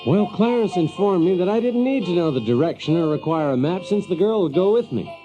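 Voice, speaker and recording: A man's voice: high voice, expressive, brisk, dark tone, soft background music, low-quality recording. An actor playing a wry, exasperated Yankee man in an audio drama, folksy and hesitant as he talks. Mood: wry storytelling. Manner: folksy, hesitant, chuckling, sardonic. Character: wry, exasperated Yankee man telling his tale in a medieval land. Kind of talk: audio drama acting and first-person storytelling.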